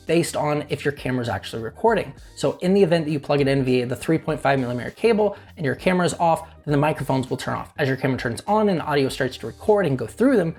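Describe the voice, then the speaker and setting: A young man with a fairly high voice hosting a casual product review, chatting to viewers and tossing in joking asides. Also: expressive, fast, few pauses, darkish tone, soft background music. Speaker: tech reviewer, channel host.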